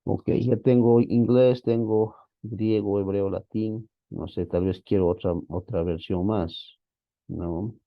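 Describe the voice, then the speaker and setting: A man with a very low voice and a gravelly, creaky edge walks a class through software in a relaxed, thinking-aloud way. The sound is dry and close, a little muffled and lo-fi. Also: slow pace, no room sound. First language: Spanish. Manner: casual, thinking aloud, frequent "¿no?" tags. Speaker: teacher. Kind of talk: screen-share software walkthrough.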